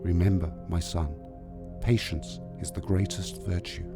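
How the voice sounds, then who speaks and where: A man voicing a frail old dying king in a storybook tale, solemn, gentle and fatherly. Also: deep voice, fairly even intonation, measured pace, creaky, over loud music. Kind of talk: storybook character voice in narrated tale.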